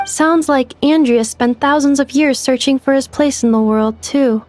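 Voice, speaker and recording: A woman's voice: sits high, normal speed, darkish tone, close to an everyday voice. A woman voicing a young, polite, analytical robot companion in a video game, precise and formal. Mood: inquisitive, composed. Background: faint music.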